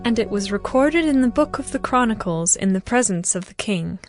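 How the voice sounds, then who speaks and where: A woman narrating scripture from a script as a measured, formal storyteller in a dramatized audiobook. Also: medium pitch, expressive. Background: music.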